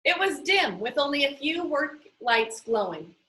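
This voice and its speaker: A woman narrates a children's novel in a high-pitched voice with a bright, forward tone. Her read-aloud delivery is deliberate and expressive, with each phrase carefully set out for listeners.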